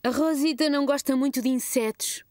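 A woman's voice: high-pitched, fairly quick, a little mellow, natural voice. A woman playing a kind, encouraging mother in a children's cartoon, with a European Portuguese accent. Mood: gentle, encouraging.

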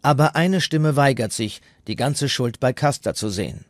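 A man's voice: mid-range voice, normal speed, no echo, studio quality. A man reading scripted documentary narration as the narrator. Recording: music playing behind the voice. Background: music.

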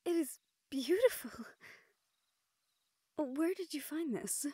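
A woman playing a gentle, playfully reproving fantasy sweetheart in a romantic audio roleplay, speaking tenderly in formal phrases. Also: high-pitched, lively intonation, slow, no room sound. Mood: soft, earnest. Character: gentle, playfully scolding fantasy woman courting a dragon general.

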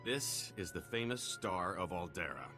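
An actor playing a sly, smarmy alien villain in a video game, with a theatrical delivery. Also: male voice, low voice, lively intonation, normal speed, music in the background. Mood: sly bargaining. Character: sly male alien villain, cornered and bargaining.

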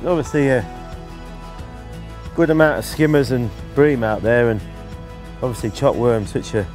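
Middle-aged male angling presenter, relaxed and instructional, mid-pitched, halting delivery, recorded outdoors with music behind. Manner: unhurried, halting phrases while busy. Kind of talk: relaxed instructional commentary while fishing. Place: outdoors on an open lakeside bank.

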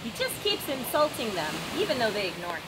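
A woman in her thirties reading a children's story like a teacher, expressive and instructive, outdoors. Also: on the high side, fairly quick, heavy background noise. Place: open seafront, outdoors. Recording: loud ocean surf behind voice.

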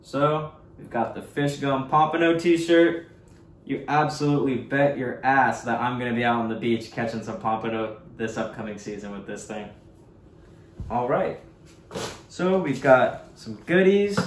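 A young man, a fishing guide, chatting easily to his viewers as he unboxes gear at his desk in a small home office. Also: mid-range voice. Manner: easygoing, chatty, addresses viewers as guys. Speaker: surf fishing guide and channel host. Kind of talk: casual unboxing vlog talk. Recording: echoey room with faint hiss.

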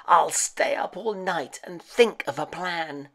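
A woman's voice: lowish voice, takes it slowly, pitched above the performer's own voice. A woman voicing a cheerful, kindly male pumpkin in a storybook, friendly and eager. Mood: worried, determined.

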